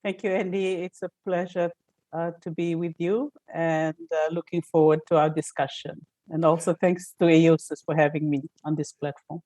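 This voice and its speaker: A woman from Fiji, an energy analyst answering interview questions with many fillers and pauses, speaking over a remote call. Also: low voice, flat delivery, warm tone, dry recording.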